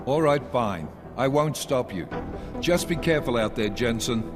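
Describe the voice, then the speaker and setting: An actor playing a brisk, authoritative police task force chief in a video game. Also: male voice, medium pitch, animated, over music, lightly acted. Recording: game cutscene with score.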